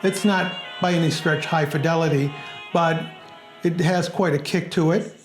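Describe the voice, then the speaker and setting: A man narrating a scripted product review in a plain, measured way. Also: on the high side, steady tone, soft background music.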